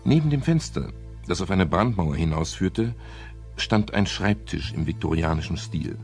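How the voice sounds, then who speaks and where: Low, gravelly, wry private detective. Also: male voice, lively intonation, darkish tone. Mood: sober narrating. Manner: dry, ironic, measured. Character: wry, world-weary male private detective, polite and unhurried. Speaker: lead actor and first-person narrator. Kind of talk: 1970s German radio crime drama acting. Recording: 1970s radio play with music.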